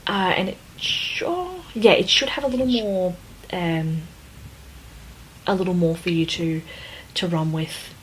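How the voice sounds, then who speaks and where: A woman's voice: on the low side, unhurried, a little hiss or hum, rough recording. A woman co-hosting a casual podcast book discussion, thinking aloud hesitantly and circling back over her phrases. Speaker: podcast co-host.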